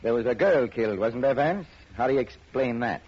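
An actor playing an indignant, defiant older banker with a criminal secret in a 1950s radio detective drama. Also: male voice, mid-range voice, lively intonation, fairly quick. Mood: defeated, sardonic. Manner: indignant, curt, theatrical. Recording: muffled, raspy vintage radio recording.

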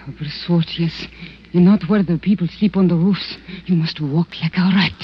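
A woman's voice: lowish voice, normal speed, husky. Bold, urgent woman, muffled old recording. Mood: hushed, urgent. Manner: commanding, intense, theatrical. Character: bold, urgent woman leading a fugitive's escape. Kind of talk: 1950s radio drama acting. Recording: muffled, hissy old radio broadcast.

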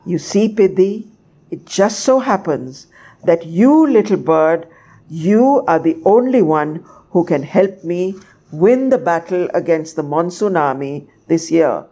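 A mighty sun voiced by a woman from a storybook, calm and solemn. The tone is soft, mellow and lowish, and the words come slowly and gravely, gently commanding. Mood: solemn, urgent. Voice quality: soft, deep golden tone. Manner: slow, measured, grave.

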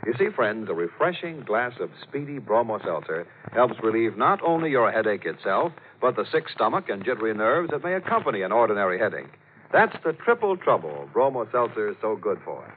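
A man reading a scripted commercial as a 1940s radio announcer, smooth and persuasive, on a tinny old recording. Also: lowish voice, big pitch swings, fairly quick, slight background noise.

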